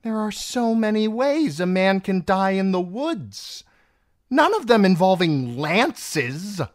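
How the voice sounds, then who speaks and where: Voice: medium pitch, sing-song, takes it slowly, darkish tone, dry recording, studio quality, pitched above the performer's own voice. A narrator voicing a proud, impatient, scheming queen in an audiobook, with an imperious, cutting and scornful manner.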